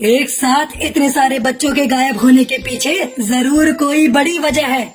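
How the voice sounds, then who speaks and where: A stern elder bird uncle in a children's cartoon voiced by a woman. The voice is high-pitched, authoritative and protective, with light music behind. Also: steady tone, a little slow. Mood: concerned. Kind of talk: Hindi cartoon story voice acting.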